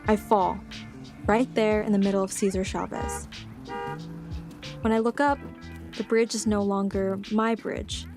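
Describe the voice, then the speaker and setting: A bewildered woman, played by an actress, narrates her own strange story in dramatic present tense. Her pitch is in the middle, with music clearly behind the radio monologue. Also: steady tone, measured pace, pauses often, lightly acted. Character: bewildered woman recounting a time slip.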